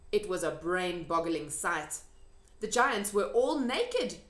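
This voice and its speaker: A woman's voice: mid-range voice, fairly bright tone. A woman reading a children's storybook aloud expressively, with informal asides. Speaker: storybook reader. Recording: echoey room.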